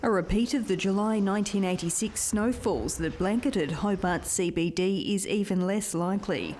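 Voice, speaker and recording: A woman's voice: mid-range voice, moderate pace, darkish tone, a little hiss or hum. A woman with an Australian accent narrating a scripted news report as a reporter.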